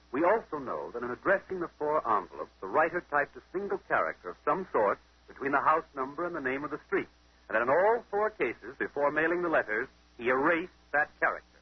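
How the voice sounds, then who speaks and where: A confident amateur detective, lays out deductions step by step with theatrical questions. His very husky voice rises and falls freely through a muffled old-time radio mystery broadcast. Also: medium pitch. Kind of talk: 1940s radio mystery acting.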